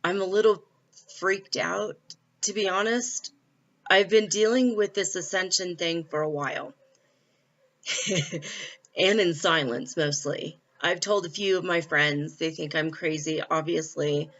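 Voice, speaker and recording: Bright-voiced chatty woman vlogging. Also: lowish voice, fairly even intonation, measured pace. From California. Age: middle-aged. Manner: chatty, candid, with frequent fillers and laughs. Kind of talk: casual spiritual vlog. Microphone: laptop built-in mic. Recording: dull lo-fi laptop mic.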